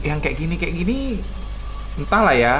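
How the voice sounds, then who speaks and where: A man's voice: fairly high pitch, lively intonation, normal speed. A man hosting a casual chat, eagerly prompting a guest with short questions and acknowledgements. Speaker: host.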